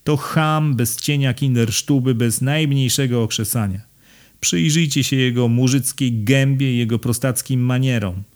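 A man's voice: mid-range voice, normal speed, natural voice. A man voicing a frail, furious aristocrat with a monocle in an audiobook, ranting scornfully with emphatic insults.

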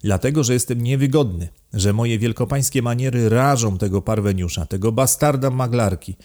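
A man voicing an excitable, contemptuous, deranged aristocrat count in an audiobook, imperious and given to emphatic outbursts. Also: medium pitch, normal speed, voice pushed up, lightly acted. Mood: bitter, haughty. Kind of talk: dramatized audiobook reading.

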